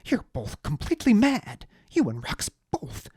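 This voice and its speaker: A narrator voicing a pompous, petulant man who is easily outraged and talks in shrill, indignant bursts. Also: huge pitch swings, measured pace, darkish tone, husky, no room sound, studio quality, heavily acted.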